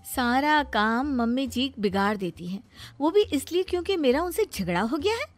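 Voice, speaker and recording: A woman's voice: sits high, big pitch swings, moderate pace, faint music behind. A woman voicing an earnest, wronged young wife who protests her innocence in a family cartoon. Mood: accusing. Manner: protesting, defensive. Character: wronged young Indian wife, earnest, firm when cornered. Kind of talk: animated family drama voice acting.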